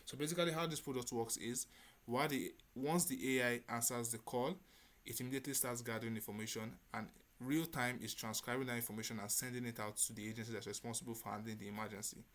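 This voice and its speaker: Man, developer presenter, product demo delivery, medium pitch, little melodic variation. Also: fairly even intonation, fast talker, fairly bright tone. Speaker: developer presenting a hackathon project.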